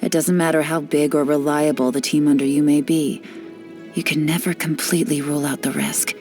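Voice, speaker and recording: Yelan, a woman voicing a sly, unhurried spy. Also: sits low, faint music behind, lightly acted. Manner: teasing, relaxed, lightly sly. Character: cool, teasing female spy, sly and unhurried. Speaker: game character voice lines. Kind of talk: studio game voice-over.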